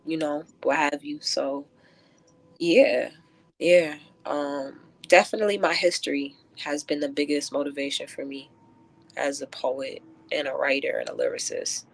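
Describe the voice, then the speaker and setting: A woman answering radio interview questions as a guest poet, thoughtful and halting, often filling with "like". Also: deep voice, fairly even intonation, vocal fry, poor audio. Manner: thoughtful, halting, many filler likes. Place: close-miked radio show.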